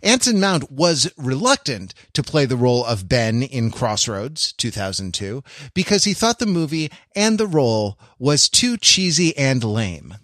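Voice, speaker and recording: A man's voice: medium pitch, sing-song, measured pace, few pauses, fairly bright tone, no room sound, studio quality. A man hosting a podcast in a casual, humorous chat, rambling wryly through mock-earnest digressions. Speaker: podcast host.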